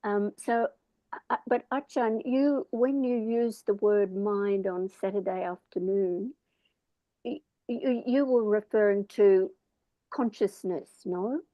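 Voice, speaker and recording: A woman's voice: mid-range voice, steady tone, slow, no echo, dull audio. A woman in a casual discussion, talking in a thoughtful, hesitant, rambling way. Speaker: discussion participant.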